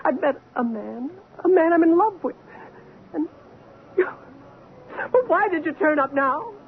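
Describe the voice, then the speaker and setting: A woman's voice: high-pitched, lively intonation, a little slow. An actress playing an anguished but firm woman doctor confronting her returned husband, earnest and emotional, in an old-time radio drama. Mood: defiant. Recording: narrow, noisy old radio recording.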